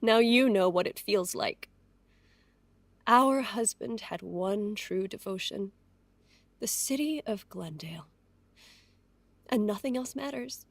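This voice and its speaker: An actress playing a sharp-tongued, scornful rival wife in an audio drama, with a dry, cutting, sardonic delivery. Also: female voice, medium pitch, expressive, slow pace, long pauses, no echo. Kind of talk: audio drama acting, period dialogue.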